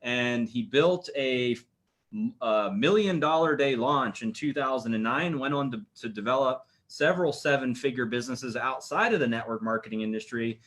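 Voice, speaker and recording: Male event co-host, medium pitch, casual hosting delivery. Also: moderate pace, fairly bright tone. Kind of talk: casual hosting and speaker introduction.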